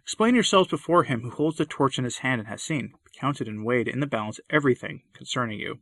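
A man's voice: medium pitch, expressive, fairly quick, a bit bright, no room sound, studio quality. A man reading a sermon aloud in a solemn, impassioned, old-fashioned declamatory style. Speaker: preacher.

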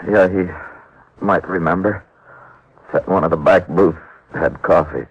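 Low-voiced, wounded crook. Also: male voice, fairly even intonation, a little slow, breathy edge, no echo, full character voice. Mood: weak, cooperative. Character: wounded male thief confessing from hospital bed, cooperative. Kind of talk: 1950s radio drama acting. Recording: muffled, dry old radio recording.

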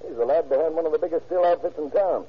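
A man's voice: a little high, rapid-fire, husky. An actor playing a driven, impatient male police lieutenant in an old radio crime drama. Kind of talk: 1940s radio acting. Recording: dull old radio recording with music.

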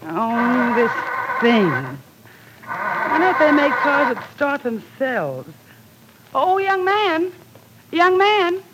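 A woman's voice: sits high, very animated, drawn-out words, long pauses, heavy background noise, full character voice. An actress playing a wealthy, poised young society woman who teases and laughs lightly, in 1940s radio acting style. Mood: coaxing.

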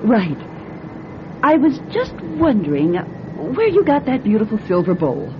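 A woman's voice: wildly swooping pitch, unhurried. A woman playing a chatty, kindly, polite older mother-to-be-grandmother in a classic radio drama. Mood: polite, warm. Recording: noisy muffled old radio recording. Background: bus engine rumble.

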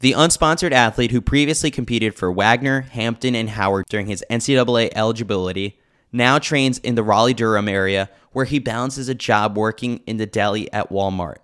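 Guy reading a sports column, clean smooth voice, bit on the low side, kinda dry jokes. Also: fairly quick, a bit bright. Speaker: newsletter reader, podcast host. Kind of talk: scripted narration of a sports newsletter.

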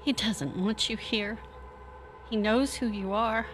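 High-pitched, haunted woman played by an actress. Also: steady tone, slow pace, a bit bright, a little hiss or hum. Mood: fearful, ominous. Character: frightened, haunted person warning of a presence. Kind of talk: radio play acting.

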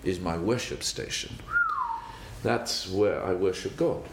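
Older south african guy, lowish voice, thinks out loud with little laughs, roomy sound w faint hum. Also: creaky. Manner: thoughtful, halting, with chuckles. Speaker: guest, banker. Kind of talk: interview storytelling.

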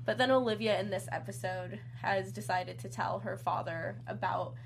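A woman's voice: mid-range voice, steady tone, normal speed, creaky, a little hiss or hum, poor audio. A woman from Texas hosting a talk show, asking long questions and recapping episodes in an upbeat way. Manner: upbeat, asks long questions, laughs easily. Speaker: host.

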